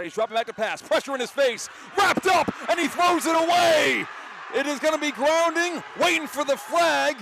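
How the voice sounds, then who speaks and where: A fairly high-voiced American man calls football live as a play-by-play announcer. His delivery is excitable and climbs toward shouting on big plays. Outdoor stadium noise sits audibly behind his voice.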